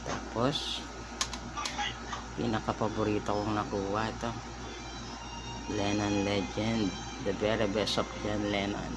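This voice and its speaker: A Filipino man giving a casual show-and-tell as a vlogger, speaking in short halting phrases and mixing in English. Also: on the low side, level tone, loud music behind the voice. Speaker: vlogger, record collector. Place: at home. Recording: very noisy home recording.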